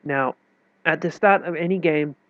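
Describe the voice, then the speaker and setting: A man's voice: a little high, moderate pace, no room sound. A man with a stuffy, nasal voice from a cold, explaining chess moves in a loose, self-correcting way. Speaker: chess teacher. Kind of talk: casual chess tutorial explanation. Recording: tinny, dry phone-like recording.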